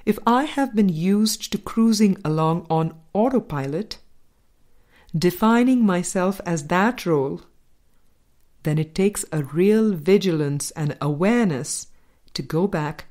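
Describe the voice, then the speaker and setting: A woman narrating an audiobook, reading from a script in a measured manner. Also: on the low side, animated, darkish tone.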